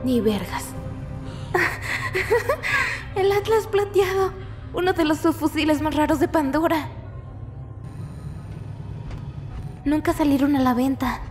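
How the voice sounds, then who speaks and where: A woman plays a spirited, streetwise young game heroine. Her Latin American Spanish voice is high-pitched, with faint music behind it. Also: lively intonation, measured pace. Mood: awed. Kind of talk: fan dub of a video game.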